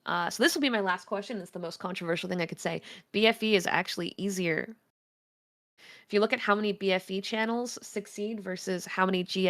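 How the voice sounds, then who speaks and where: A woman with a deep voice, a guest presenter giving a chatty, candid talk, with many ums and uhs. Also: gravelly, a bit bright. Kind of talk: casual presentation on a livestream.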